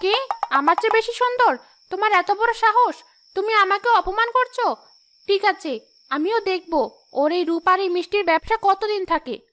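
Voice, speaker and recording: A woman's voice: extremely high pitch, normal speed, a bit bright. A woman voicing a spiteful, jealous, scheming young sister-in-law in a cartoon fairy tale, taunting and accusing. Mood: indignant. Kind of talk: animated fairy-tale voice acting.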